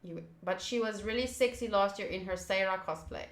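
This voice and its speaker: A woman's voice: mid-range voice, a little fast, reverberant. A woman streaming a visual novel, reading the text aloud and breaking into chatty reactions as she goes. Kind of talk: playthrough commentary, reading on-screen text aloud.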